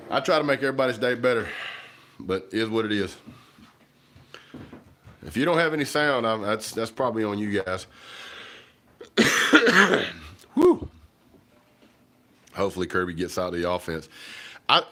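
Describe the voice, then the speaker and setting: A man, chatting casually to viewers on a livestream while stuffy and hoarse from a cold. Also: lowish voice, sharp tone. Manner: rambling, folksy, talks to viewers directly. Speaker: livestream host. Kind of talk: casual livestream chat about football. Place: home kitchen.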